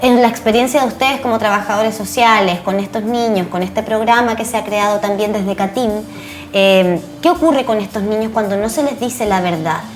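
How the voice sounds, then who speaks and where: A woman's voice: medium pitch, normal speed, soft background music. A Chilean woman hosting a conversational studio interview, speaking earnestly and reflectively and posing rhetorical questions. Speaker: TV interview host.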